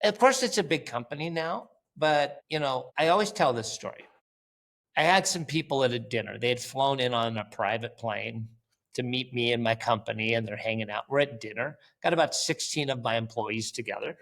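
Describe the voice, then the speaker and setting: A man's voice: medium pitch, moderate pace, a bit bright. A man, a company founder guest on a podcast, talking bluntly and animatedly, swearing casually and acting out bits of conversation. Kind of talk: casual interview.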